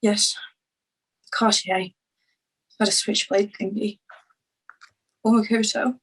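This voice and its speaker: A woman acting a shaken, grieving young crime witness in a screenplay table read, with halting delivery. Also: mid-range voice, level tone, slightly rough, rough recording.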